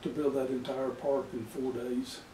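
A man's voice: mid-range voice, level tone, moderate pace, mellow, slight background noise. A man in his sixties telling a local story in a casual interview in an office. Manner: chatty storyteller. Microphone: far camera. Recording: echoey office from far off.

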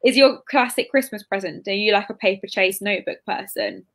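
A woman's voice: medium pitch, a little fast, gravelly. A woman in her twenties hosting a casual live interview over a video call, chatty and effusive. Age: 20s. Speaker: interviewer, bookshop event host. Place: indoor room at home, on a video call.

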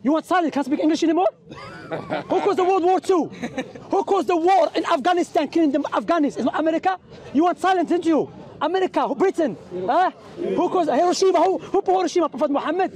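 A man's voice: very high voice, expressive, fast talker, darkish tone. A man from Algeria in a heated outdoor street debate, combative, interrupting and repeating his questions. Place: outdoors in a park, among a crowd. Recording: outdoor park recording amid a crowd.

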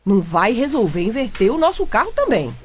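An actress playing a sly, sardonic matchmaking wife in a Brazilian comic radio soap, exclamatory and teasing. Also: female voice, fairly high pitch, big pitch swings, brisk, slight background noise.

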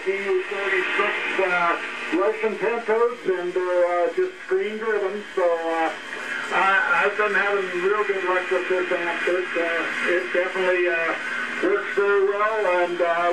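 A high-pitched male voice comes through an AM radio with clear noise, echoing in the room. He chats casually as an amateur operator, feeling his way through each phrase with frequent hesitations. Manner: very hesitant, frequent long pauses. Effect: heard over AM shortwave radio. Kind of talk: casual ham radio chat. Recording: noisy, roomy radio speaker, distant from mic.

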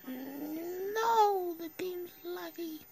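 A woman casually commentating her own gameplay while stuffy with a cold, speaking in a slow, low-key, self-conscious way into a phone mic. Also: expressive, gravelly, darkish tone, soft background music. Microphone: phone screen recorder mic.

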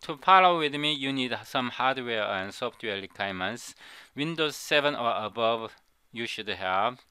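A man's voice: mid-range voice, expressive, moderate pace, bright, forceful tone, clear, resonant tone, dry recording. A middle-aged man, a native Korean speaker, gives a coding tutorial over a screen recording, often repeating and restarting his words. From South Korea. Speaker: programming tutor.

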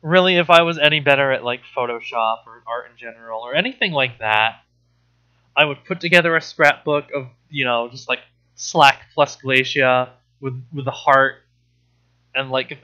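A man's voice: medium pitch, bright, forceful tone, muffled recording. A man giving a casual comedic rant as a book commentator, with an exasperated, sarcastic, profane way of talking. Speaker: host reviewing a book.

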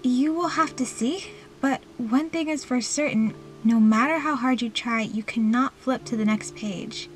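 A woman voicing the ominous, taunting narrator of a cursed book, measured and foreboding, in an amateur doll story. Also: fairly high pitch, soft background music, lightly acted. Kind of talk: amateur doll stop-motion story acting.

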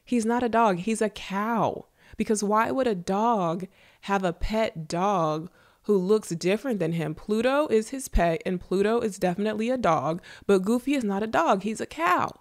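A young woman with an American accent hosts a solo podcast in a chatty, conversational way. Her medium-pitched voice sounds stuffed up and nasal from congestion. She talks straight to her listeners, close to a studio microphone in a small room. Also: lively intonation, normal speed. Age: late 20s to early 30s. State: congested with a runny nose. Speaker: podcast host. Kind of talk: casual solo podcast monologue. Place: small indoor room with shelving. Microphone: close studio podcast microphone with headphones.

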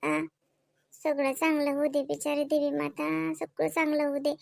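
A woman's voice: high-pitched, little pitch movement, moderate pace, gravelly, darkish tone, dry recording. A woman voicing an anxious, devout young village wife in a comedy cartoon, homely in manner, with a Varhadi Marathi accent. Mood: pleading. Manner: pleading, homely, chatty.

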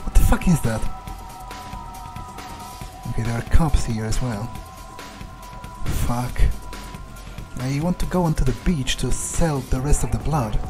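A man improvising in-character game narration, halting and sweary as he mutters to himself. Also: medium pitch, over music. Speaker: player role-playing his game character.

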